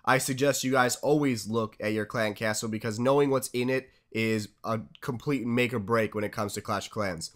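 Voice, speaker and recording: A man's voice: mid-range voice, steady tone, moderate pace, few pauses. A congested young man casually commentating his own strategy game replays, chatty and upbeat, giving tips as a channel host.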